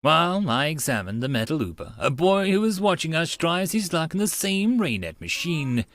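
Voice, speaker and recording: Animated male story narrator, dry sound. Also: fairly high pitch, moderate pace, no room sound. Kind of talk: dramatic first-person story narration.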